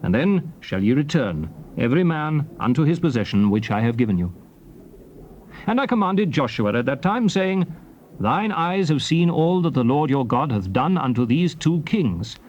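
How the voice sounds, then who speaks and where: An actor playing a solemn old prophet recounting conquests to his people, in declamatory, measured theatrical scripture style. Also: on the high side, big pitch swings, darkish tone, dull audio. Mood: commanding. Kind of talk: dramatized scripture reading, theatrical oratory. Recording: faint noise from old cassette tape.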